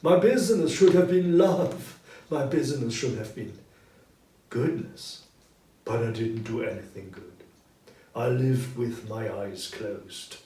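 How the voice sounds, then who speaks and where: A man's voice: lowish voice, mellow, lightly acted. A man voicing the anguished, regretful ghost of a dead businessman in a storybook reading, with solemn, emphatic delivery. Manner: slow, solemn, emphatic declamation. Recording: roomy echo, distant mic, background noise.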